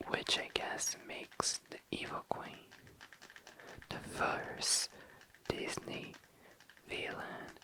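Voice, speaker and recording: An ASMR host speaking in a very low, breathy whisper close to the microphone, deliberately lingering on and repeating words. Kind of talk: ASMR, slow soft whispering.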